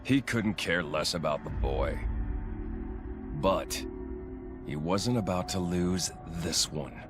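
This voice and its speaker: Game villain: cynical and aloof, very low voice, dry delivery over clear music. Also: male voice, animated, slow. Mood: cool, dismissive. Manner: dry, matter-of-fact. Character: cynical, aloof male villain explaining secrets coolly. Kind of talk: video game cutscene acting.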